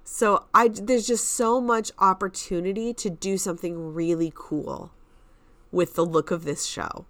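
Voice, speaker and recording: A woman's voice: medium pitch, fairly even intonation, measured pace, dry recording. A woman co-hosting a podcast, chatting casually and giving emphatic opinions in pointed statements. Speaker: podcast co-host.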